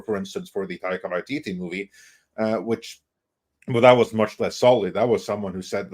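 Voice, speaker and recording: A man's voice: lowish voice, slightly rough. Man hosting an opinionated panel discussion. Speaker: panel host.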